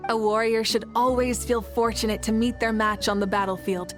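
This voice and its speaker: A woman's voice: fairly high pitch, fairly even intonation, moderate pace, faint music behind, natural voice. An actress playing a confident, fiery female warrior leader in a video game, speaking in a composed, self-assured way. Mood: proud.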